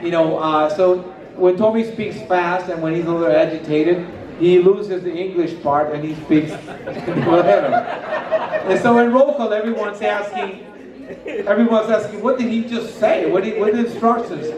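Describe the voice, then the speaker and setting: A man's voice: high voice, moderate pace, recorded from a distance. Puerto Rican man speaking in an echoey hall. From Puerto Rico. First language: Spanish. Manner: rambling, warm anecdotes with many fillers. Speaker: coworker giving a retirement speech. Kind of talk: casual speech to a party crowd. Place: large hall with a stage, indoors. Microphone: handheld mic, filmed by a far camera.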